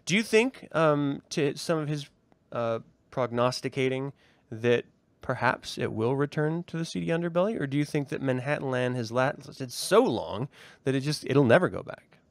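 An American man hosting a casual discussion, speaking reflectively with halting phrasing and the odd swear word. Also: medium pitch, expressive, dry recording. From Ogden, Utah, United States. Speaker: host of a reading and discussion show.

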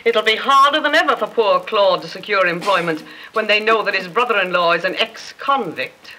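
An actress playing a stern, haughty middle-aged wife in a 1930s comedy film, projecting in a theatrical, declamatory way. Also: female voice, medium pitch, normal speed, close to an everyday voice. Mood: scornful. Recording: noisy old 1930s film soundtrack.

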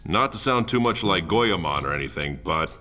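A man with an American accent voicing a gruff, sardonic gunman sidekick with dry, deadpan sarcasm in a cartoon. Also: low voice, moderate pace, slight background noise. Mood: mock solemn. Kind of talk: animated comedy dub acting. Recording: loud background music.

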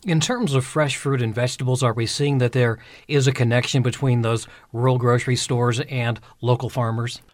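A man hosting a radio program, asking measured, plainly phrased interview questions. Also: mid-range voice, fairly even intonation, dry recording. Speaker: radio show host.

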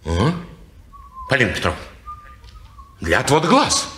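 A man's voice: medium pitch, huge pitch swings, a little slow, sharp tone, reverberant, slight background noise, exaggerated voice. An actor playing a nosy, mocking, suspicious man in a 1950s radio play. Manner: prying, mocking.

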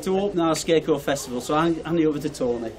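A man's voice: fairly high pitch, very fast, heavy background noise. An older man, hesitantly and informally introducing a guest. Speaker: festival organiser introducing a guest. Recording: distant voice in loud chattering hall.

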